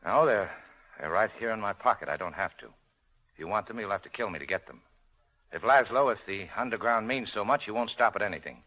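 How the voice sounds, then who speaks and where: A man's voice: fairly low pitch, animated, fairly quick, sharp tone, slight background noise, low-quality recording. An actor playing a bitter, world-weary, hard-boiled man, curt and sardonic, in a 1940s radio drama. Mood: defiant. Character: bitter, cynical, world-weary American cafe owner, jilted lover. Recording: old 1940s radio broadcast.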